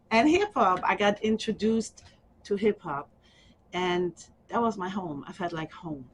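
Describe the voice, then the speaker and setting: A middle-aged woman from Germany with a German accent, speaking softly and haltingly with small laughs in an oral history interview. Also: lowish voice, a little hiss or hum, rough recording. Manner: soft, halting, with frequent ums and small laughs.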